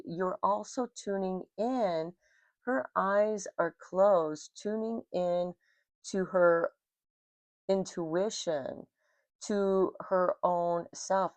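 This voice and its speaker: An American woman giving an intuitive tarot reading in a calm spiritual manner, her throat rough and scratchy from smoke. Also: fairly low pitch, no room sound. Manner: slow, soothing, spiritual. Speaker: tarot reader.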